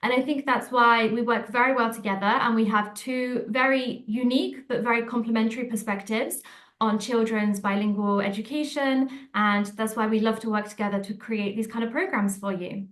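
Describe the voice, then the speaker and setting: A woman's voice: fairly high pitch, steady tone, normal speed, a bit bright. Woman in an echoey room. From England. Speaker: director of studies. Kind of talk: self-introduction in a presentation.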